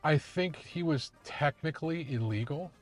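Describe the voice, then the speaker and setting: A man, a rideshare driver hosting, telling family history in a relaxed, casual way with fillers. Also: on the high side, normal speed, faint music behind. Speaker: rideshare driver and podcast host. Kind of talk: casual conversation. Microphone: car interior mic.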